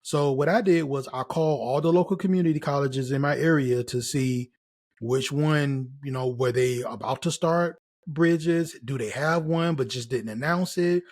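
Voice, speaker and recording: A man's voice: mid-range voice, moderate pace, darkish tone. A middle-aged American man speaking casually and encouragingly as a host and mentor, emphatic and given to repeating key phrases. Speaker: host, nursing career mentor. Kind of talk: casual motivational advice to camera.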